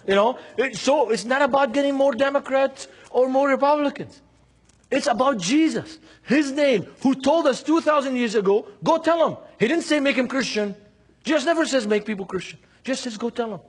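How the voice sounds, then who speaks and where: Male seminar preacher, Lebanese accent, high husky voice, emphatic storyteller who drives points home by repeating them. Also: normal speed. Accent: Lebanese Arabic. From Beirut, Lebanon. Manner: emphatic, acts out dialogue, repeats key phrases. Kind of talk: seminar preaching with anecdotes and rhetorical questions.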